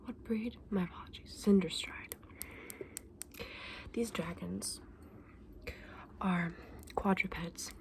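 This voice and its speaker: A woman whispering breathily in a gentle, unhurried ASMR roleplay as a shopkeeper talking to a customer. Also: on the low side, vocal fry. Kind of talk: whispered ASMR roleplay as a dragon egg saleswoman.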